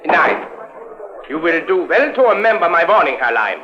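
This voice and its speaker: An actor playing a stern, arrogant police colonel with a German accent, clipped and formal, in a 1950s radio drama. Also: male voice, a little high, very animated, moderate pace. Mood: stern, haughty. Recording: muffled old radio recording.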